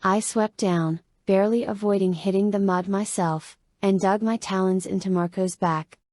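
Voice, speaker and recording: A woman narrating a first-person adventure novel in conversational, deliberate short phrases. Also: mid-range voice, steady tone, a little mellow, no echo. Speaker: audiobook narrator. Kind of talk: first-person dramatic audiobook narration of a young adult novel.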